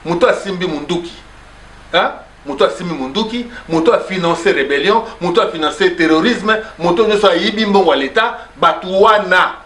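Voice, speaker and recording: A man's voice: high voice, fairly quick, a bit bright. A man arguing insistently in a debate, mixing French and Lingala, often cutting in with repeated "no, no". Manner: insistent, interrupts with repeated "non, non". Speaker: pastor in a debate. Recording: echoey room with faint background noise.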